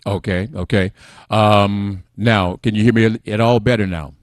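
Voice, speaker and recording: A middle-aged man hosting a casual talk show, soft-spoken and rambling, who addresses his viewers as family. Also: lowish voice, steady tone, a little slow, no echo, clean studio sound. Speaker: host.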